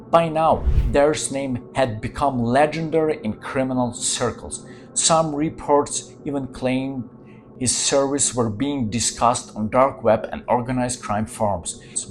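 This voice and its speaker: A man from Ukraine, hosting his channel and telling true-crime stories dramatically in English. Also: mid-range voice. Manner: dramatic storytelling in non-native English. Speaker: channel host.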